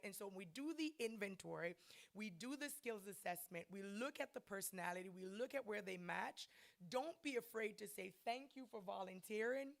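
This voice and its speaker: An American woman leads a church leadership session in an emphatic, conversational way. She drives her points home and checks in with her listeners as she goes. Her voice sits at a middle pitch and comes through a microphone in a church hall. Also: a bit bright. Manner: emphatic, conversational, says "right?" often. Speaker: guest speaker at a ministers' training.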